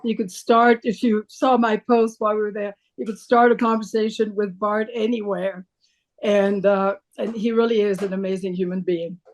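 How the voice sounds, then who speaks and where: A woman's voice: mid-range voice, fairly even intonation, normal speed, darkish tone, low-quality recording. A woman from Belgium, a book club member, telling an earnest story on a video call. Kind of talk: video call group discussion. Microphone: computer mic on video call.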